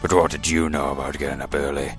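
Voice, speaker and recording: A man playing a grumpy, indignant old village leader with a gravelly, blustering delivery in a comic radio play. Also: extremely low pitch, flat delivery, fast talker, soft background music. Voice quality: gravelly, creaky. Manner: pompous, blustering.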